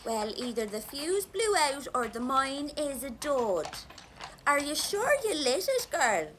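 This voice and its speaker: A woman's voice: sits high, sing-song, a little slow, a bit bright, slight background noise, exaggerated voice. An actress with an Irish accent playing a bossy young female rebel, blunt, commanding and impatient, in an audio drama. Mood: impatient, accusing.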